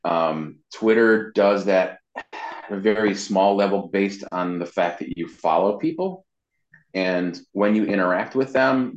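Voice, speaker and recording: A man's voice: sits low, reverberant, muffled recording. A man giving casual, explanatory answers as an interview guest, stressing his points by repeating them. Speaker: interview guest, web marketing consultant and voice actor.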